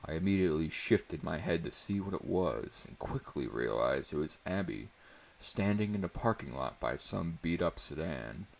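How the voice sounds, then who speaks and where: A man's voice: very deep voice, animated, normal speed, creaky. A man reading a story aloud as narrator in a dramatic audiobook style.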